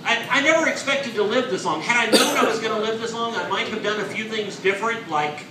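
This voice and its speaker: A man in his forties performing a stand-up comedy set on a club stage, with deadpan, self-mocking punchlines. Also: high voice, brisk, recorded from a distance, over a noisy crowd. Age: 40s. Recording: echoey club, audience laughter.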